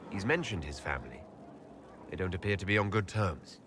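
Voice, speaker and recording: Composed fantasy game hero, lowish voice, lively intonation, asks direct questions, noise behind. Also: male voice, a little fast. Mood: wary, curious. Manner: probing, polite, direct. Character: composed, inquisitive young male leader of a fantasy order. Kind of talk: video game dialogue acting.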